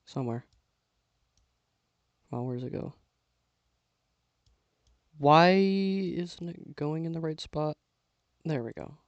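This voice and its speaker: A young man giving casual, self-talking commentary while building with LEGO, losing his voice and clearing his throat now and then. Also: a little high, slow, long pauses, no echo, muffled recording. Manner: relaxed, mutters to himself while building.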